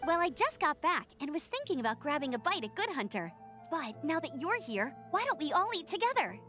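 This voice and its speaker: A woman's voice: high-pitched, big pitch swings, brisk, a bit bright, faint music behind. A woman voicing a perky, friendly young adventurer in a video game, breezy and casual. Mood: cheerful.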